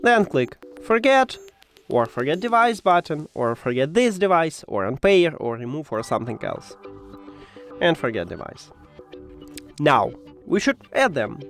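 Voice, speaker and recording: A man who speaks English as a second language, presenting a step-by-step tech tutorial with hesitant, halting phrasing. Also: a little high, big pitch swings, fairly bright tone, faint music behind. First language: not English.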